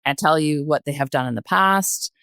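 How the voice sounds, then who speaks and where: A woman's voice: low-pitched, fairly bright tone, dry recording, studio quality. An older woman in a panel conversation, speaking emphatically and often repeating phrases to make her point. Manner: emphatic, halting repetition of phrases. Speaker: podcast guest, retired journalist.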